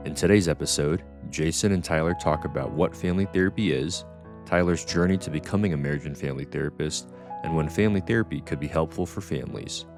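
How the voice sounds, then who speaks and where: Very deep male announcer over guitar music. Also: quick pace. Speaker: podcast announcer. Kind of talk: scripted intro narration.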